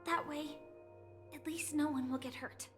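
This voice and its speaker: A woman playing a gentle, resolute young warrior in an anime game, speaking earnestly and solemnly in a measured way. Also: high voice, fairly even intonation, music in the background.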